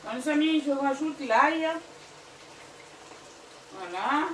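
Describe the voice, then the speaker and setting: Hesitant, chatty woman, French not her first language, cooking vlog host, higher voice, distant mic. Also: expressive, slow, reverberant, slight background noise. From Gabon and Equatorial Guinea. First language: Fang or another local language of Equatorial Guinea. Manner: chatty, hesitant, many fillers. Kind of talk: casual cooking vlog talk. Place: home kitchen.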